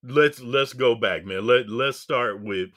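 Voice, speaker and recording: A male podcast host talks in an unhurried, easygoing drawl, with a bright, forceful edge to his lowish voice. He chats casually and warmly addresses his audience in slang. The sound is dry and close, with no room around it. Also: dry recording. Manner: slangy and affectionate, calls listeners fam and guest brother. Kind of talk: casual interview chat.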